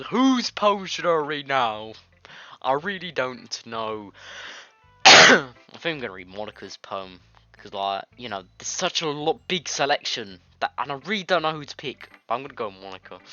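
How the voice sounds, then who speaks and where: Animated man with a cold, lo-fi mic. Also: mid-range voice, moderate pace, dry recording. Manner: jokey, giggly, informal. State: congested with a nasty cold, coughing. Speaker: video game let's-player. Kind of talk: reading visual novel dialogue aloud with casual asides. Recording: dull lo-fi mic with music behind. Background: game music.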